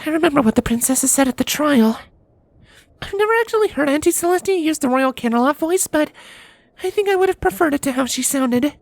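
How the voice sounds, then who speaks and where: A woman's voice: high-pitched, expressive, fairly quick, no room sound, voice pushed up. A woman voicing a sulky, remorseful young female pony princess with a hesitant, grudging manner in a storybook reading. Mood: uneasy. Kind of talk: fan fiction storybook reading.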